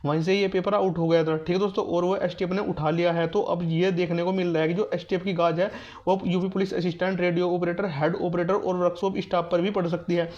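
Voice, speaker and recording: A man's voice: sits high, little pitch movement, fast, darkish tone. A man giving an informal exam update to students, repeating himself to reassure and instruct them. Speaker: exam news and guidance YouTuber. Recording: dull, lo-fi muffled sound.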